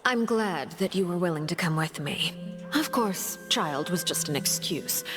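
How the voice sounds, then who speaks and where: A woman voicing a poised, menacingly courteous noblewoman in a video game, speaking formally in measured, deliberate phrases. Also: on the low side, expressive, over music. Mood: calm, sincere.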